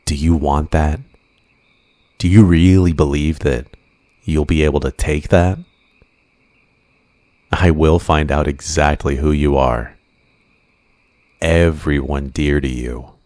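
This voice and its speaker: An extremely deep-voiced villain, played by a man, obsessive and possessive, in an ASMR roleplay. He speaks slowly and deliberately, with intimate menace. The sound is dry and close. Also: darkish tone, dry recording. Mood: menacing, possessive. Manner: slow, deliberate, intimate menace with coaxing endearments. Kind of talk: ASMR roleplay, scripted monologue.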